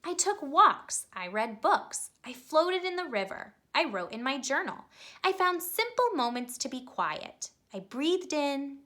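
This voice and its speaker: A woman reading a children's picture book aloud, telling it expressively and pausing to ask young listeners questions. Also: sits high, sing-song, sharp tone. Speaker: storybook reader.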